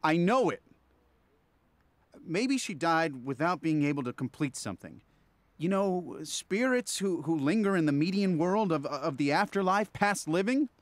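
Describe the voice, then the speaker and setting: A man's voice: a little high, lively intonation, a little slow, dry recording. A man playing an anxious, obsessed client in an audio ghost story, speaking in a hesitant, stammering, earnest way. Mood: uncertain, earnest.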